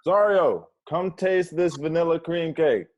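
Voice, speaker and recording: A man's voice: on the high side, animated, unhurried, a little mellow. A man playing an indignant, competitive home cook in a comedy table read. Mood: proud, pointed. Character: indignant, competitive young man proud of his cooking. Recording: muffled video call audio.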